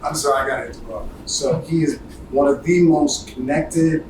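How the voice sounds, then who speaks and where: A man's voice: on the high side, moderate pace. A man cutting in casually and emphatically at a gathering. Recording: distant, echoey, noisy room.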